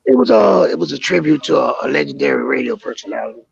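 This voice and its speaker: A man who is a comedian, talking hoarsely and teasing his hosts with brash, crude banter in a casual podcast chat over a call. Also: on the high side, big pitch swings, fast talker, muffled recording. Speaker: guest comedian.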